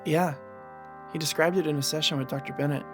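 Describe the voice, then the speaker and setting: A man playing a calm young man who shares secrets in an audio drama, in a casual conversational voice. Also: on the high side, brisk, over music, natural voice. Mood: candid.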